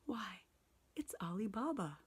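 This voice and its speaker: A woman whispering the lines of an old miserly man overcome with childlike excitement. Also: medium pitch, extremely slow, husky, pitched above the performer's own voice, full character voice. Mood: wistful.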